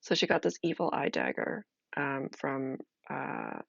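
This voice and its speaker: A woman streamer chatting casually as she explains a solo role-playing game, halting and thinking aloud. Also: extremely low pitch, wildly swooping pitch, vocal fry, fairly bright tone, no room sound, lo-fi sound.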